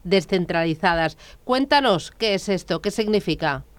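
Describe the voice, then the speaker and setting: Woman radio host, smooth and clear, brisk friendly questioner. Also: lowish voice, animated, dry recording. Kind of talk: radio interview.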